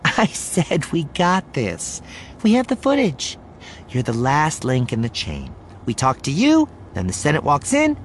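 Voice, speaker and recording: A man voicing a brisk, polished woman news broadcaster with a practiced, breezy, commanding manner in an audiobook. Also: mid-range voice, wildly swooping pitch, slight background noise, voice pushed up. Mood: reassuring. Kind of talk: audiobook character voice.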